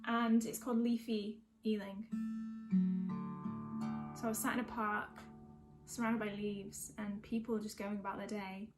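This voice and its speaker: A woman's voice: mid-range voice, little pitch movement, unhurried, pauses often, over music. A young woman from North West England, a singer-songwriter, chatting casually between songs in a hesitant, reflective, rambling way. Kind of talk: casual chat between songs at an online gig.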